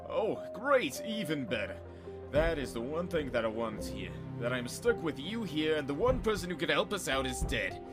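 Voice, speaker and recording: Man acting a sarcastic, exasperated, higher-voiced grumbler. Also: big pitch swings, normal speed, music in the background. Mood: bitter sarcasm. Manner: scolding, sarcastic. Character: exasperated, sarcastic reluctant male ally of a fugitive. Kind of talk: audio drama acting.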